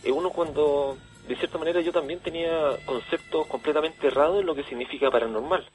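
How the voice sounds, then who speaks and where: A Chilean man being interviewed on radio, explaining things conversationally with halting, repeated words. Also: on the high side, darkish tone. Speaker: interview guest, event organiser. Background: background music.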